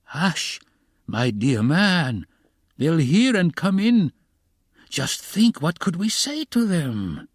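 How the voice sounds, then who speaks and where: Man acting a wily, fussy investigator, pitch swooping. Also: big pitch swings, slow, darkish tone, no room sound, clean studio sound. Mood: alarmed, fussing. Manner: theatrical, coaxing, cackling, exclamatory. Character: wily middle-aged male investigator, fussily solicitous, slyly mocking.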